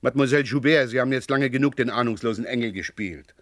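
An actor playing a sardonic, persistent police inspector in a vintage radio crime drama, speaking in an ironic, deliberate way. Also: male voice, mid-range voice, no room sound, clean studio sound, close to an everyday voice. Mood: stern, threatening.

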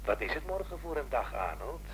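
A man's voice: medium pitch, sing-song, brisk, lightly acted. A man acting in a radio play as a bitter, menacing caller who taunts and insists. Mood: menacing, taunting. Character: menacing, bitter man tormenting an old acquaintance by phone. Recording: noisy radio play recording, telephone-like.

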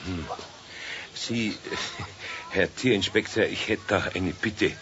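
A man's voice: sits low, slow. An actor playing a good-natured, flustered older craftsman with a Bavarian accent, hesitant and muttering, in a children's radio play. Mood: awkward, evasive. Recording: dull 1970s radio recording, faint noise.

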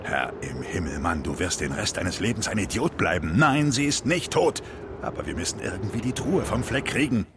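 An actor playing a streetwise, bossy hustler in a German radio crime drama, gruff, scolding and sardonic. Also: male voice, lowish voice, animated, normal speed, background noise, lightly acted. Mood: exasperated.

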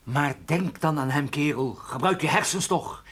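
An actor playing a weary, urgent, wry private detective and narrator in a radio play. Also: male voice, a little high, moderate pace, fairly bright tone, breathy edge. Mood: urgent pleading. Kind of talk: radio play acting with first-person narration.

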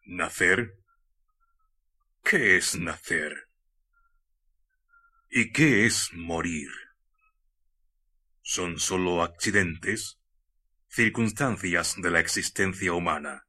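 An actor playing a mysterious, courteous Spanish hermit in a radio drama, with an accent from Spain, speaking husky, archaic, formal lines. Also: male voice, sits low, very slow, long pauses, pushed and bright, exaggerated voice. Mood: solemn, enigmatic. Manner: archaic, formal, solemn speech.

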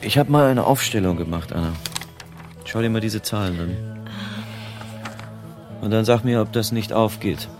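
Man acting a deep-voiced, world-weary private detective. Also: moderate pace, long pauses, over music, close to an everyday voice. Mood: insistent, explaining. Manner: emphatic, explaining, colloquial. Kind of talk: German radio drama acting.

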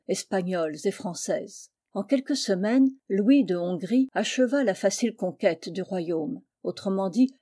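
A woman reading a literary historical story aloud as scripted narration, in a measured storytelling manner. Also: mid-range voice, warm tone. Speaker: audiobook reader.

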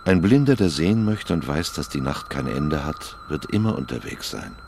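A man playing a grave, knowing, fatalistic figure who speaks in dark poetry in a radio drama. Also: big pitch swings, moderate pace, slight background noise, heavily acted. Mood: grave, dismissive. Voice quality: very deep, gravelly. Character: dark, fatalistic male narrator taunting a lost lover. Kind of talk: literary radio drama acting.

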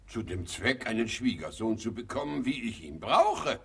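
A man's voice: lowish voice, sing-song, moderate pace, gravelly, a bit bright, husky, heavily acted. An actor playing an irritable middle-aged hypochondriac father in a German radio comedy, peevish, dramatic and self-pitying. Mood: indignant, stubborn. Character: irritable hypochondriac father, master of his house. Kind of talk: German radio play acting of classic comedy.

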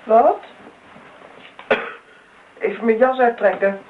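A woman's voice: on the high side, fairly even intonation, slow. An actress playing a brusque, domineering older woman who speaks curtly and cuttingly in a radio play. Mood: brisk. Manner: curt, cutting, commanding. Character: brusque, busy, sharp-tongued older woman, domineering friend. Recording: tinny, noisy old radio play recording.

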